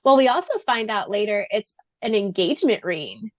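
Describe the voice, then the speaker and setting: A woman's voice: medium pitch, sing-song, moderate pace, no echo. A woman co-hosting a podcast in a chatty, informal book discussion, giggly as she talks. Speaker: podcast co-host. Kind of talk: casual book discussion chat.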